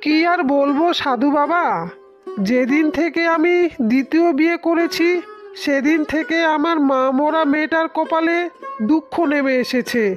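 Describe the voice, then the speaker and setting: A woman's voice: high-pitched, fairly even intonation, moderate pace, dull audio, natural voice. A woman playing an aggrieved village husband and protective father in a cartoon fairy tale. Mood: sorrowful. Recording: music under the voice.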